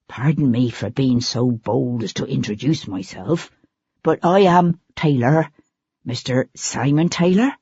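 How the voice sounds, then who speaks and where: A narrator doing Sebastian as a meek, hesitant gentleman. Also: mid-range voice, a little slow, breathy edge, dry recording, voice pushed down. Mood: meek, diffident. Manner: hesitant, questioning, apologetic. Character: ex-soldier man posing as a timid, humble gentleman. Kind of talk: audiobook character voice. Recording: slightly dull, dry lo-fi recording.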